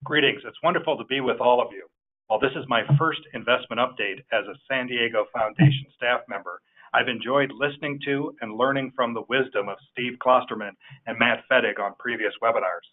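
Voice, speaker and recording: A man's voice: medium pitch, a little fast. A man speaking as a chief executive, giving a formal, courteous prepared greeting on a conference call. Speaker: foundation president and chief executive. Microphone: phone or webinar call. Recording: narrow tinny phone line.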